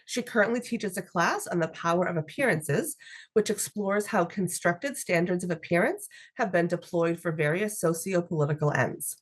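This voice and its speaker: A woman hosting a podcast, reading a guest's introduction in a measured, formal way. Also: fairly low pitch. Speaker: podcast host.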